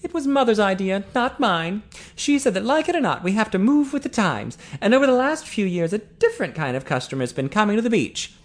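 A young woman from an audiobook novel, as a narrator puts her on. Her voice is soft and pitched well up, with lively swings. She is caring and protective, with a teasing streak. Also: a little fast, lightly acted. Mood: earnest.

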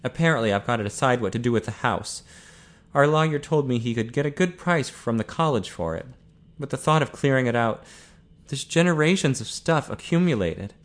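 A reserved young man in an audiobook novel, read in character by a narrator. His voice sits at a middle pitch. He is guarded and bland, with a jealous edge. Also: expressive, brisk, lightly acted. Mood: subdued.

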